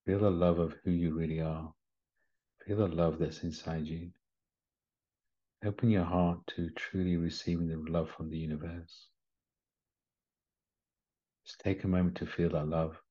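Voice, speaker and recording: Very deep-voiced man guiding a meditation. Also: little pitch movement. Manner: gentle, soothing, unhurried phrasing with short instructions. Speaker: guided meditation leader. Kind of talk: guided meditation. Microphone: video call. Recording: slightly muffled video call audio.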